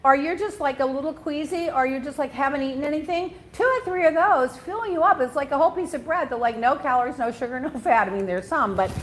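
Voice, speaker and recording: A chatty middle-aged woman hosts a talk show in a high, bright-pitched voice. She moves fast from gossip to news and drops blunt opinions and playful asides along the way. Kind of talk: casual gossip and news chat.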